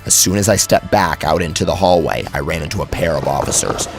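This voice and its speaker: Casting: male horror narrator, low gravelly register, theatrical and suspenseful, swinging widely in pitch over a soft music bed. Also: animated, quick pace. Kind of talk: dramatic first-person horror story narration.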